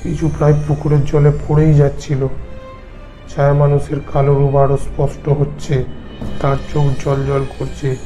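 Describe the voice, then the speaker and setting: A man's voice: fairly high pitch, completely flat delivery, moderate pace, warm tone, soft background music, low-quality recording. A man narrating a scripted horror story as the narrator.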